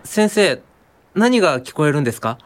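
An actress playing a polite, excited young female college student in a radio drama. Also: low voice, normal speed, a little hiss or hum. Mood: polite excitement. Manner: polite, eager.

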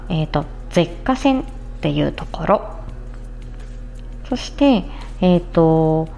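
A woman's voice: lowish voice, creaky, darkish tone. A woman hosting a casual health talk as a speech therapist, explaining things conversationally and speaking straight to her listeners. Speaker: podcast host, speech-language therapist.

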